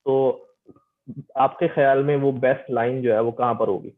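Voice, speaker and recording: A man's voice: medium pitch, fairly even intonation, moderate pace. Male teacher lecturing over tinny call audio. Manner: repetitive, often checks that students understand. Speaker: teacher giving an online lecture. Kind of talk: informal interactive technical lecture.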